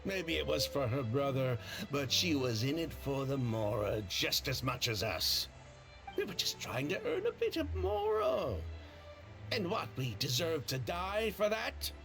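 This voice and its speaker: A man's voice: fairly high pitch, big pitch swings, measured pace, vocal fry, fairly bright tone, soft background music, exaggerated voice. A man playing a bitter, defiant criminal in a video game, with sardonic, theatrical delivery. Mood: bitter, indignant. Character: bitter, defiant perfumer criminal accepting his guilt. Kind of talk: dramatic game voice acting.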